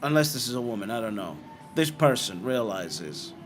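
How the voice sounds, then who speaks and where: A man's voice: medium pitch, sing-song, music in the background. Blunt, chatty British man. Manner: frank and blunt, with quick rhetorical questions. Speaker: host answering viewer comments. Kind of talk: casual livestream commentary.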